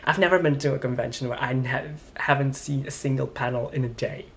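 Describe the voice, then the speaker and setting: A man's voice: mid-range voice, steady tone, fairly quick, vocal fry, fairly bright tone, slight background noise. A young man from Flanders, rambling and hesitant as he complains in a casual vlog. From Belgium, Flanders. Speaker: vlogger.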